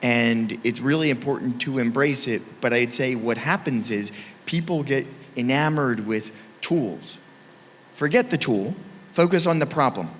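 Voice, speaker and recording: A man's voice: medium pitch, a little hiss or hum. A man on a conference panel, speaking emphatically and punching key phrases. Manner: emphatic, punchy, speeds up and slows down for stress. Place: conference hall. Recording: reverberant hall.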